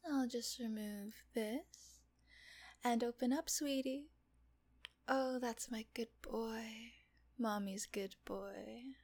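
A woman playing a slow, soft-spoken teasing nurse. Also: on the high side. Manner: soft, often whispered, teasing and coaxing. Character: teasing, doting adult female nurse. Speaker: voice actress in an audio roleplay. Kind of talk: intimate audio roleplay.

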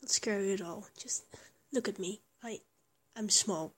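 A woman's voice: mid-range voice, unhurried, fairly bright tone. A woman voicing a small, affectionate young lover who whispers, stammers and rambles between giggles. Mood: tender. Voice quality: breathy whisper. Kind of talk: whispered intimate roleplay audio.

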